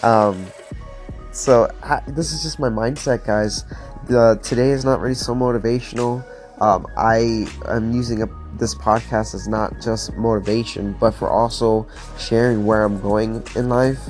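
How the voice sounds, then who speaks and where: A man's voice: medium pitch, steady tone, a little slow, a little mellow, soft background music. Earnest young man with a motivational podcast. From Florida. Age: 20s. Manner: earnest, upbeat, many fillers like "like" and "um". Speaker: podcast host. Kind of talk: casual motivational monologue.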